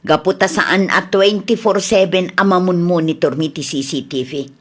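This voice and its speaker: A woman's voice: fairly low pitch, moderate pace, lightly acted. A woman acting a suspicious, firm shop owner who probes and questions, in an audio comedy drama. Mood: stern. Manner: probing, firm.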